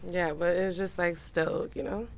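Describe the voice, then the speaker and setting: A woman's voice: on the low side, steady tone, unhurried, a little hiss or hum. A woman in her twenties from Iran with a Persian and Canadian accent, a podcast guest giving short, easygoing answers. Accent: Persian mixed with Canadian. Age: 20s. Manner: laid-back, short answers. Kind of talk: casual interview chat. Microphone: headphones and desk mic.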